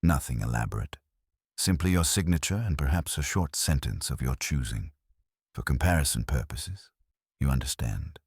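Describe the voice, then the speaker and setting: A man voicing a shrewd, courteous police inspector in an audiobook mystery, conversational, gentle, formal and unhurried. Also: rumbling low, animated, slightly rough, no echo, studio quality, heavily acted. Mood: politely probing. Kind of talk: audiobook mystery character reading.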